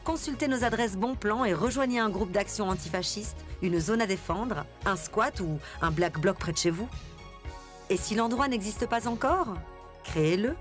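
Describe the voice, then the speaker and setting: An actress playing an enticing announcer in a parody radio advert. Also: female voice, mid-range voice, normal speed, natural voice. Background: music bed.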